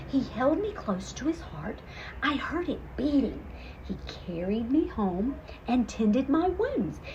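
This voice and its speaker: A woman voicing a gentle, wondering young female cat in an earnest storybook reading. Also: a little high, dull audio, slight background noise.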